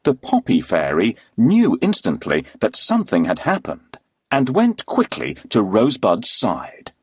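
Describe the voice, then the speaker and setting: A male storyteller reads a children's fairy tale. His voice is low and husky, with hugely animated pitch and a slow, dramatic delivery. The sound is dry and close. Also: dry recording, soft background music. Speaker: storyteller, narrator.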